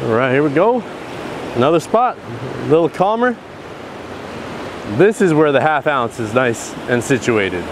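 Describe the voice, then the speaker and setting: A man in his thirties vlogging excitedly while fishing from a rocky ocean shore, recorded outdoors on an action camera. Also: mid-range voice, unhurried, long pauses. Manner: excited, exclaiming. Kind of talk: outdoor vlog narration. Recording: faint surf and wind noise. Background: waves and wind.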